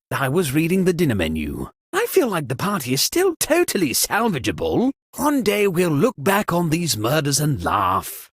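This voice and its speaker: Fretful posh party host, hugely animated pitch, husky, dry close sound, theatrical, exasperated, comedic video game character. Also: no echo.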